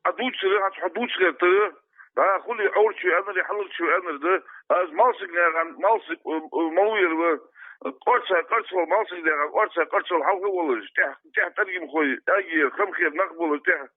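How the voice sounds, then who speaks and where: A man in an informal phone discussion, answering haltingly and repeating his phrases. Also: high-pitched, no room sound. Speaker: phone interviewee answering questions. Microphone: telephone. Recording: dry, narrow telephone line.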